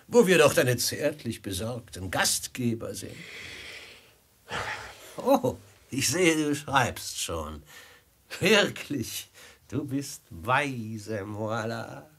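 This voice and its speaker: An actor playing a mockingly polite bandit extortionist in a 1950s radio drama, with husky voice and theatrical emphasis. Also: male voice, lowish voice, animated, slow, a bit bright, full character voice. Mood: falsely courteous. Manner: mock-courteous, oily, theatrical emphasis. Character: smooth-talking bandit extortionist, mockingly polite adult man.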